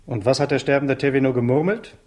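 Crime radio play male actor: mid-pitched investigator, earnest, careful, giving brisk instructions. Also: a little mellow, lightly acted. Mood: urgent. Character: earnest, cautious man leading a crime investigation. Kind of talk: 1960s German radio drama acting.

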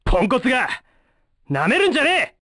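A man voicing a cocky, hot-headed young ninja with a brash, rough manner in an anime fighting game. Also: very high voice, wildly swooping pitch, fairly quick, sharp tone, exaggerated voice. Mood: brash, aggressive. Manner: brash, rough street slang, combative.

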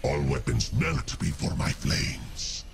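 An actor playing a menacing fire demon of metal and flame in a video game, with a gravelly growl and a grandiose, contemptuous manner. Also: male voice, rumbling low, huge pitch swings, slow, full character voice. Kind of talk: video game character voice lines.

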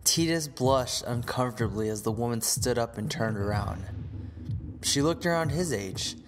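Medium-pitched male voice, narrator, wry scripted storytelling, faint music behind. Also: animated, normal speed.

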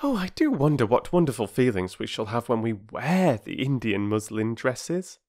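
A narrator voicing an earnest, gentle older girl with grown-up airs, in a children's storybook. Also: medium pitch, sing-song, normal speed, pitched above the performer's own voice. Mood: grown-up, wistful.

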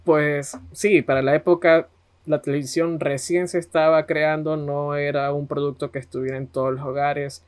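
A man's voice: on the high side, little pitch movement, moderate pace, clean tone. A man with an Ecuadorian accent hosting a podcast, narrating history in a conversational style. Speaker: podcast host.

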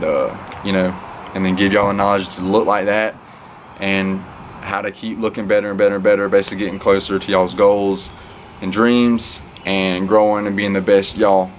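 A man's voice: sits low, a little hiss or hum, rough recording. A young man talking casually to camera from an outdoor porch, rambling and upbeat with lots of fillers. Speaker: fitness vlogger. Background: crickets and insects.

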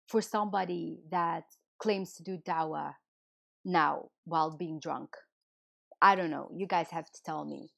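A hoarse woman who is losing her voice hosts a livestream and rants indignantly, firing rhetorical questions at her viewers. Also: on the low side. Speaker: livestream host. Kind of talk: heated casual livestream rant.

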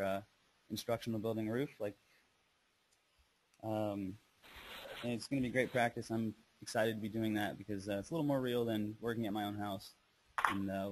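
Male student presenter, lowish flat voice, heavy background noise. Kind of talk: informal public presentation.

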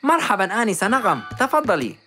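A woman's voice: medium pitch, sing-song, fast, fairly bright tone, soft background music, voice pushed up. A woman doing a cheerful male fox professor. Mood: friendly. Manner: friendly, upbeat and courteous. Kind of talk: animated children's story.

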